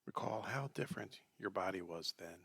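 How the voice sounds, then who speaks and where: Guy doing guided meditation, soft breathy gravelly voice, slow gentle phrases, really dry close sound. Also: deep voice, animated, no echo. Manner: gentle, unhurried with long pauses. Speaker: meditation teacher.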